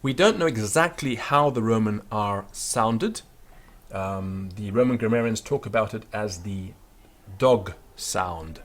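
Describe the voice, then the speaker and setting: A man with a fairly low voice teaching pronunciation in an offhand, unscripted way, full of small fillers and restarts. He stops every so often to sound out words slowly and deliberately, as a demonstration for his students. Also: expressive. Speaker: Latin teacher. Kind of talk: spoken pronunciation lesson.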